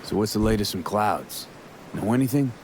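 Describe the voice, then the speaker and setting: An actor playing a laid-back, wry young American mercenary in a video game, dry and casual. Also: male voice, lowish voice, expressive, moderate pace, slight background noise, lightly acted. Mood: concerned. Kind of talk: video game dialogue acting.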